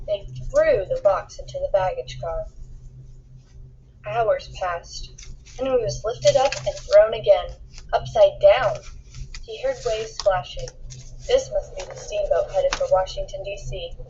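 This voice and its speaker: A woman reading a children's picture book aloud in an expressive storytelling style, acting out the dialogue with emphasis. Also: medium pitch, breathy edge, highs cut off. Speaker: storybook reader.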